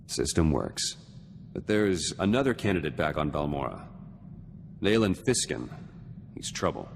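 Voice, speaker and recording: Very deep, gravelly, terse politician. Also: male voice, very animated, a little slow, full character voice. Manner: clipped, terse phrases. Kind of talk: video game cutscene acting. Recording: long reverb, faint noise.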